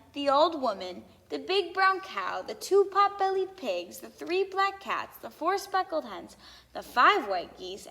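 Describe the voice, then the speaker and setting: A woman reading a children's story aloud with expressive, theatrical emphasis on lists and sound words. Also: high voice. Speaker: storybook reader. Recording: long hall-like reverb.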